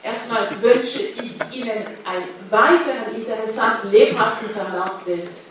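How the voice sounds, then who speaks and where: A woman moderating a panel discussion, calm and conciliatory as she sums up. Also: fairly high pitch, slight background noise. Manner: measured, conciliatory. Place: large hall at a community event. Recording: echoey hall from far off.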